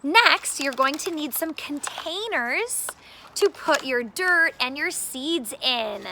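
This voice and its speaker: A woman's voice: high voice, expressive, slow pace, noisy background. A woman teacher giving children an upbeat read-aloud and gardening demonstration in simple terms.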